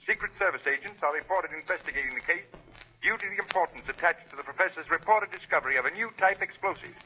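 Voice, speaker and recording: An actor playing an urgent radio news announcer reading a clipped bulletin in a 1930s radio drama. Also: male voice, on the high side, fast talker, natural voice. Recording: muffled, rough 1930s radio recording.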